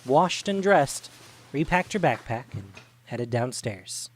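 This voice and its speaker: Male narrator for audio drama: medium pitch, scripted storytelling, faint noise behind. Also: very animated, slow pace.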